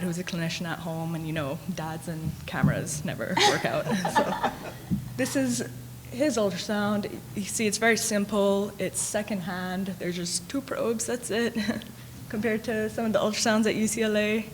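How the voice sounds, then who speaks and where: A woman's voice: medium pitch, a little hiss or hum. Young woman from the Caribbean presenting. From Caribbean, grew up in Grenada. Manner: friendly, lightly humorous. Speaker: radiology resident, panel speaker. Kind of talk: conference presentation. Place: conference hall.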